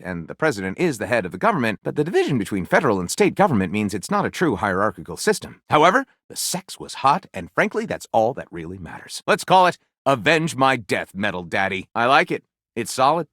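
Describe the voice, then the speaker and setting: A man playing an excitable, sardonic, self-pleased pulp author in a comedic video game, with a dramatic, wry delivery and flippant asides. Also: fairly high pitch, no room sound, studio quality. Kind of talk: theatrical comedic game dialogue, mock-literary narrating.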